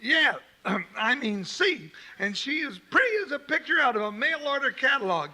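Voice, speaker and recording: A high raspy folksy sidekick. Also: male voice, big pitch swings, moderate pace, bright, forceful tone, heavily acted. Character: hefty folksy frontier sidekick, jolly and gabby. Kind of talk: 1950s radio drama acting. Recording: old radio with faint hiss.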